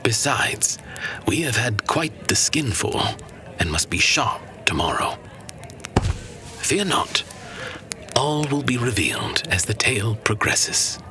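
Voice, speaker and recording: An actor playing a wise, kindly old archivist in a fantasy audio drama, telling tales to his apprentice in a formal, unhurried way. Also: medium pitch, very animated, whispered. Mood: gently teasing. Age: older. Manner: formal, unhurried, teasing storyteller.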